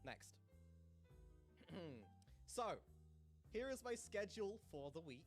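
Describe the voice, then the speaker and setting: A playful man with a Cockney accent chats through his stream, laughing often and teasing viewers. His voice sits fairly high and swings widely in pitch. Soft music plays faintly underneath. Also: sing-song, slow. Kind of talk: casual stream chat.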